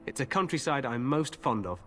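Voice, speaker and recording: Actor as a breezy, animated young fantasy king. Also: mid-range voice, brisk, natural voice. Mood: cheerful, welcoming. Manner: hearty, regal, breezy. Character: jovial, overconfident young king, eager for glory. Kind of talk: fantasy video game acting.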